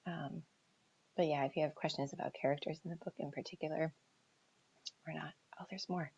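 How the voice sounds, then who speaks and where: Husky, creaky-voiced American woman. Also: low voice, steady tone, darkish tone. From New Hampshire seacoast, United States. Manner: halting, reflective, thinking aloud, trailing off. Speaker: author answering audience questions. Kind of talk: casual livestream Q&A chat. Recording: dull lo-fi livestream audio.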